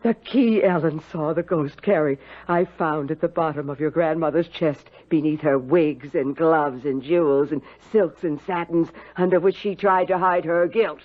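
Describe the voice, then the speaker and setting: An actress playing a stern, bitter older housekeeper in a radio drama, with grave, emphatic delivery. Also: female voice, deep voice, normal speed, few pauses. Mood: bitter, accusing. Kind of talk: 1970s radio drama acting. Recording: dull vintage radio recording.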